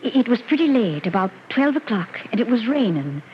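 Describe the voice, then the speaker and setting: A dull old radio drama recording with faint noise. a shaken eyewitness, earnest and halting, with very lively swings in pitch. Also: female voice.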